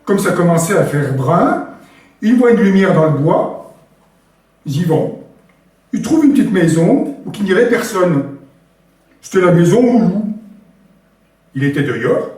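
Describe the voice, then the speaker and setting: A man with a hoarse, damaged voice telling a rural folk tale at an open stage. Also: high voice, measured pace, pauses often. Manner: lively, animated storytelling. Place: live open-mic stage in a hall. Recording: very echoey hall from far off, faint guitar.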